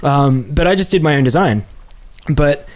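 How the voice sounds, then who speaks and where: A man's voice: a little high, lively intonation, moderate pace. A man co-hosting a podcast in casual chat, swearing freely with laid-back enthusiasm. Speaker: podcast co-host.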